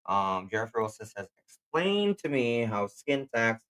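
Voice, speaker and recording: A man from the Philippines, a native Kapampangan speaker, co-hosting a casual livestream chat and reading out viewer comments with animated emphasis. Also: mid-range voice, measured pace. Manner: animated, emphatic, quiz-show asides. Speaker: co-host of a livestream.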